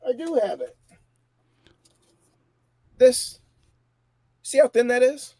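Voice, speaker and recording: A man's voice: very high-pitched, lively intonation, takes it slowly, mellow, husky, no echo, clean studio sound. A man around forty chatting casually about politics as a show guest. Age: 40s.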